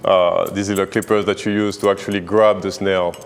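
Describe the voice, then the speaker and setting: A man's voice: low voice, little pitch movement, a little fast, long reverb tail, a little hiss or hum. A man from France with a French accent, a chef guest on a morning TV interview, speaking hesitantly with many fillers.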